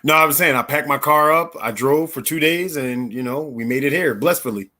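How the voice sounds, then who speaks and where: A man's voice: fairly high pitch, brisk, bright, forceful tone. An American man in his thirties from Philadelphia, telling his own story conversationally as an interview guest. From Philadelphia, United States. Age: 30s.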